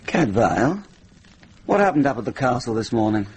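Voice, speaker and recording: An actor playing a genial, witty deputy sheriff in a radio drama, talking with wry banter. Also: male voice, medium pitch, big pitch swings, moderate pace, darkish tone. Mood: wry, businesslike. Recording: noisy vintage radio drama recording.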